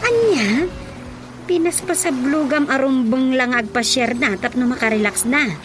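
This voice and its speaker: An agreeable Ilocano Filipino wife in a radio comedy drama. Her high voice moves slowly through curious, questioning phrases, over music.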